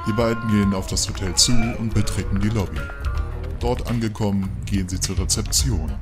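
A male narrator reads a scripted audio drama. His voice is fairly low, and music plays clearly behind him. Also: fairly quick.

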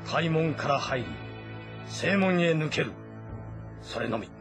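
A man playing a shrewd, composed ancient Chinese military strategist in a game drama, speaking formally and in measured explanations. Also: fairly high pitch, expressive, over music. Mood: grave, explaining. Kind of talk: dramatic audio-novel acting in a video game.

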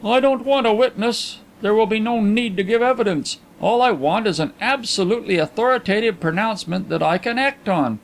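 Brief: male reader doing a precise, anxious inquiry agent, pushy and high, faint hiss behind. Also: fairly quick, hardly any pauses. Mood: urgent. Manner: fretful, insistent, raised high. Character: fussy anxious male detective, middle-aged, precise.

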